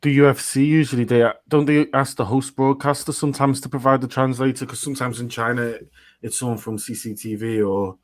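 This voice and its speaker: A man co-hosting a podcast, explaining points in a measured way with some fillers. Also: medium pitch, steady tone. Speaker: podcast co-host. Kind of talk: casual chat.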